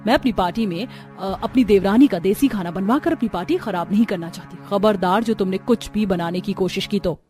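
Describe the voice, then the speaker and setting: A woman's voice: medium pitch, a little fast, hardly any pauses, darkish tone, faint music behind, voice pushed down, lightly acted. A woman playing a snobbish modern young sister-in-law who sprinkles English into her speech. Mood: scornful refusal.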